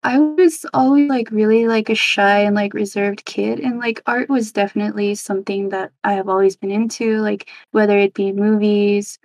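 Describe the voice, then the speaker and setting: A woman's voice: medium pitch, steady tone, moderate pace, few pauses, a little mellow, dry recording. Young woman guest, giggly and chatty, dry close sound with no room. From Los Angeles, United States. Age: 20s. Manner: giggly, chatty, full of "like" fillers. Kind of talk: casual interview chat.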